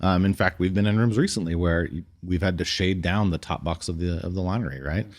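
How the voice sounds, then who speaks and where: Low-voiced man in casual podcast chat. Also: fast talker. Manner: conversational, thinks aloud, steers with questions. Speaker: podcast co-host, audio system designer.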